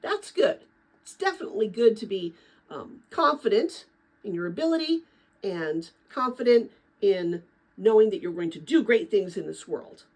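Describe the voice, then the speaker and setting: A woman reading a children's book aloud in a dramatic, expressive style, with a chatty manner. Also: mid-range voice, normal speed, poor audio. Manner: expressive, chatty when commenting to listeners. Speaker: read-aloud narrator.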